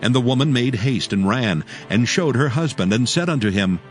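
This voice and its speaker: A man narrating dramatized scripture as a solemn, measured storyteller. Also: mid-range voice, lively intonation, faint music behind.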